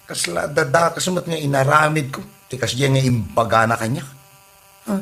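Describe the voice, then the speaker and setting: A man's voice: fairly high pitch, normal speed, gravelly, a bit bright. A man playing an aggrieved, whiny freeloading husband in a comedy audio drama, with an Ilocano Filipino accent. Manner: complaining, sulky.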